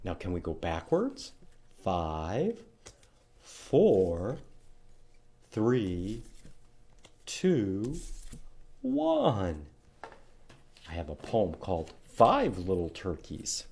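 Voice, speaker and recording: Male children's storytime host, slow delivery, swooping intonation, sing-song read-aloud, question prompts. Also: low voice, huge pitch swings, gravelly, a little mellow, husky.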